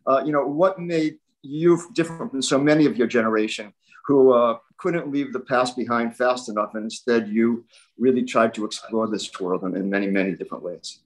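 Older man hosting an interview, mid-pitched. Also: a little fast, low-quality recording. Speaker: interviewer, event host. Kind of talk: conversational interview introduction and questions. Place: book-lined home library, indoors. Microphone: video call computer mic.

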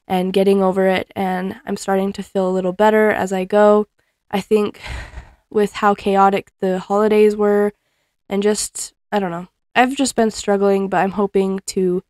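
A young woman talking casually at home while she folds clothes. She rambles in a friendly way, full of ums and small laughs. Her voice sits at a middle pitch, and the recording is dry, with almost no room sound. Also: darkish tone, clean tone, no echo. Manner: chatty, laughs often, frequent ums. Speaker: cleaning vlogger. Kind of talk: casual chat while folding laundry. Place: living room at home, indoors. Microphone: fixed camera across the room.

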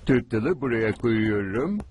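A man's voice: mid-range voice, expressive, slow. A man voicing an earnest, tinkering stick-insect scientist in a cartoon, explaining things methodically. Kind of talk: animated cartoon dub. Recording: music behind dialogue.

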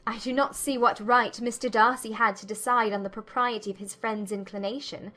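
A woman voicing a spirited, proud young gentlewoman, formal and pointed, in a dramatized audiobook. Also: fairly high pitch, fairly even intonation, fairly quick, soft background music, pitched above the performer's own voice. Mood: questioning, indignant. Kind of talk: dramatized audiobook character dialogue.

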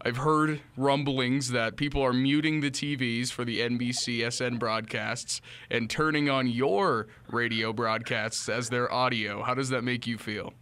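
A man's voice: mid-range voice, fairly even intonation, measured pace, hardly any pauses, sharp tone, clear, resonant tone. A young man hosting a casual sports interview, speaking into a close studio microphone. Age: young adult. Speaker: podcast host. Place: small home-style studio room. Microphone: close studio mic on boom arm, headphones.

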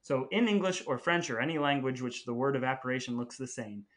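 A man's voice: medium pitch, a little fast. A man co-hosting a podcast, chatting casually in a playful, jokey way. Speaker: podcast co-host.

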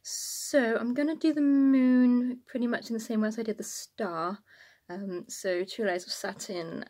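A woman's voice: fairly high pitch, fairly even intonation, a little slow, dark tone. A woman, chatting casually and informally to camera as a sewing vlogger in a small room at home.

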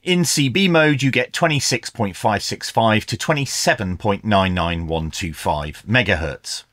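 A man's voice: lowish voice, unhurried, a bit bright, no room sound, studio quality. A middle-aged man giving a methodical, explanatory product review in an instructional style. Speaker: radio reviewer, presenter.

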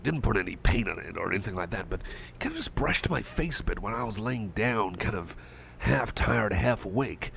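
A man speaking a gentle ASMR roleplay as a caring cyborg friend, rambling and stammering, reassuring and soft. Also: fairly low pitch, vocal fry, a little hiss or hum, low-quality recording.

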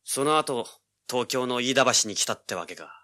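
Forceful young adventurer. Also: male voice, mid-range voice, animated, moderate pace. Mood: intent, dramatic. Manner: cocky, intense, rough casual speech. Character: brash, driven young male treasure hunter narrating his adventure. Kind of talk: dramatic first-person narration and dialogue, audio drama.

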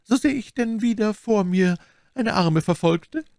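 A man voicing a gentle, curious abbess in an audiobook, speaking politely and formally with solicitous care. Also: on the high side, sing-song, brisk, no room sound, studio quality, pitched above the performer's own voice. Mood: sympathetic, searching. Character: abbess, gentle, curious, sympathetic pious woman. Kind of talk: audiobook character dialogue.

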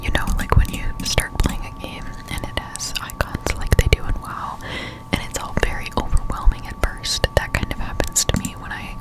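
A woman's voice: normal speed, few pauses, poor audio. A woman whispering casual ASMR game commentary close to the microphone while chewing gum. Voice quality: unvoiced whisper. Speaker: streamer playing a video game. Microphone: close-up desktop studio microphone.

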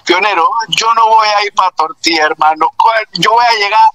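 A man's voice: sits high, normal speed, bright, forceful tone, no echo. A man with a Panamanian accent in a casual phone chat, slangy and exclamatory. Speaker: friend receiving a prank call. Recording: noisy phone call on radio.

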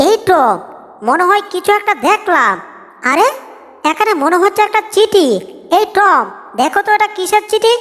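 A woman's voice: very high voice, animated, moderate pace, fairly bright tone. A woman voicing a small, cheeky cartoon mouse with a chirpy, exclaiming manner. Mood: curious. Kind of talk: Bengali cartoon dub comedy. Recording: long hall-like reverb.

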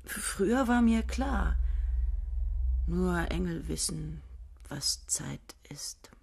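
A breathy radio-play woman who drifts between hushed whispers and sudden eager questions. Her voice is airy and husky, never fully clear. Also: on the low side, very slow, heavily acted. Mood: musing. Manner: whispery, musing, shifts between hushed and excited. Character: dreamy woman chasing a formula of time.

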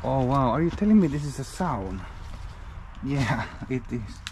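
A man's voice: medium pitch, very animated, moderate pace. A man, a Finnish native speaker, exploring an abandoned house outdoors and thinking aloud in casual commentary, often restarting his sentences. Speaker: urban explorer. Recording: noisy outdoor camera audio.

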